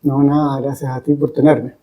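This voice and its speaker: A man talking reflectively as a podcast guest, deliberately, with pauses between short phrases. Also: mid-range voice, level tone, muffled recording. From Chile. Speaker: guest, English teacher.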